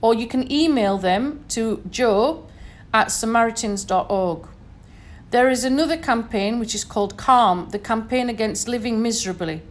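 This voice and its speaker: An earnest woman from Sheffield, speaking with a Northern English accent in a slightly high voice. She talks straight to her listeners in an advising tone and keeps checking in with "okay?" and "you know". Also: normal speed. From Sheffield, England. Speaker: podcast host. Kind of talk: solo podcast talk.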